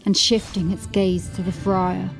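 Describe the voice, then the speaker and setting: A woman's voice: medium pitch, little pitch movement, a little slow, gravelly, poor audio. Woman narrating horror over music. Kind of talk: scripted horror audiobook narration.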